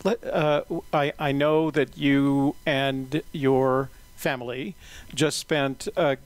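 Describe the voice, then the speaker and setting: A man hosting an interview in a relaxed, unhurried way, often pausing with "uh". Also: mid-range voice. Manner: relaxed, many "uh" fillers, measured pace. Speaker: interviewer and podcast host. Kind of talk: casual interview.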